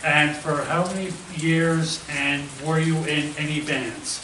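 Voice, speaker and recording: A man's voice: fairly high pitch, fairly even intonation, slow pace, bright, forceful tone. Hesitant male interviewer in an echoey room. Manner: hesitant, full of uhs and restarts. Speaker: host, interviewer. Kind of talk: casual phone-style interview. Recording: echoey, distant from the mic, noisy.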